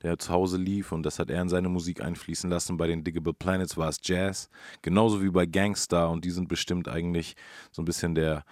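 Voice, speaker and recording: A man's voice: low-pitched, flat delivery, a little fast, few pauses, dry recording, clean studio sound. A man giving casual, conversational music commentary as the co-host of a show. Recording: music playing behind the voice. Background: loud music.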